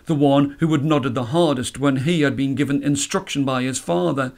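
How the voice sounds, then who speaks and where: Man, storyteller, fairly high voice, scripted narration, measured dramatic phrasing. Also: darkish tone.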